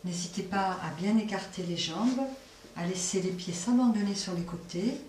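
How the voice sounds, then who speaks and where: Woman, guided meditation, soft delivery, long pauses, echoey space, faint background noise. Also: on the low side. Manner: soft, unhurried, with long pauses between phrases. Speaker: yoga nidra teacher. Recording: roomy echo, distant mic, faint noise.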